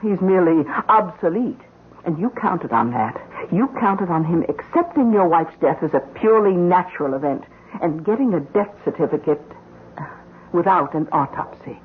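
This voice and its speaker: An actress playing a scheming middle-aged housekeeper in an old-time radio mystery, with deliberate, insinuating, theatrical phrasing. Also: female voice, fairly low pitch, expressive, breathy edge. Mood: smug, confiding. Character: scheming middle-aged housekeeper, coolly blackmailing her employer. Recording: muffled old radio recording.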